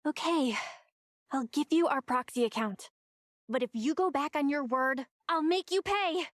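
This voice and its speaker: Game heroine: plucky, clever young sister, high voice, close dry sound, measured confidence. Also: female voice, dry recording. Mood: defiant. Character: young sister, shrewd, composed, plucky underground operator. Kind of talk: English video game voice acting.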